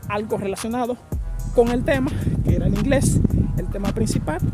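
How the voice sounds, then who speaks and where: Very high-voiced Dominican man over loud music. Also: a little fast. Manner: chatty, rambling with many fillers and restarts. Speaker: vlogger. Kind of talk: casual advice vlog to viewers. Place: outdoors with a scenic view.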